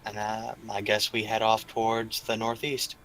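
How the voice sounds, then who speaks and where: A man's voice: on the low side, completely flat delivery, normal speed, fairly bright tone, soft background music, poor audio. A man chatting and roleplaying casually as a player in a tabletop game.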